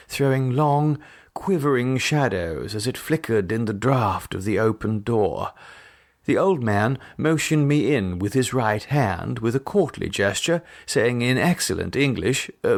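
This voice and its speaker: Male narrator, mid-pitched, for gothic audiobook; measured yet expressive dramatic reading. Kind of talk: dramatic scripted gothic narration, first person.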